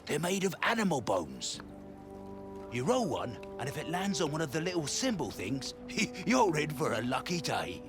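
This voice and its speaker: Easygoing townsman, slightly high voice, highly animated, chatty game acting, music behind. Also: moderate pace. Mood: friendly. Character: easygoing local townsman explaining a dice game. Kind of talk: conversational video game acting.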